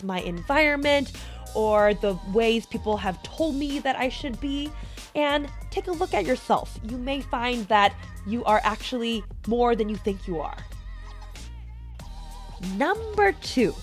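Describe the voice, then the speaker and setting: A young American woman speaks in a fairly high voice over music. She delivers a prepared motivational talk, explaining her points and putting them straight to the listener. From United States, small town in Colorado. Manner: direct address to listener, explanatory. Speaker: podcast host.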